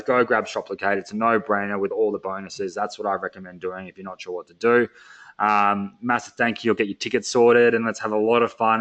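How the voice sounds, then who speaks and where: A man's voice: lowish voice, fairly bright tone, low-quality recording. Upbeat man hosting a webinar. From Australia. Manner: upbeat, filler-heavy, hype-driven. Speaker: webinar host. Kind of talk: casual sales webinar wrap-up.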